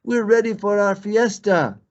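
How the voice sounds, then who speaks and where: A man voicing a hasty, excitable rabbit who makes eager excuses, in a children's storybook. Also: sits high, a little slow, voice pushed up. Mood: eager, excusing. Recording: slightly dull lo-fi recording.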